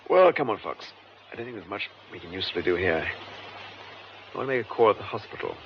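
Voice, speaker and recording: A shrewd, composed British detective in a radio play. His voice is deep and creaky, with animated intonation, on a slightly muffled recording. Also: quick pace, background noise. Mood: brisk, reasoning. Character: composed, shrewd gentleman police detective superintendent. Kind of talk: radio drama acting.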